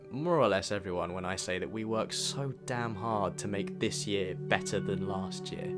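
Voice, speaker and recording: A young British man narrating a scripted year-in-review vlog in a reflective, earnest way. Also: on the low side. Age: young adult. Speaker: video creator and student filmmaker. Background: background music with guitar.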